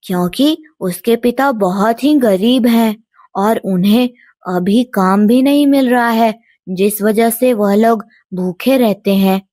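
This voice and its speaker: A woman's voice: a little high, takes it slowly, dark tone, no room sound, studio quality. A woman voicing an innocent, earnest young village boy in a storybook tale. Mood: sad, earnest.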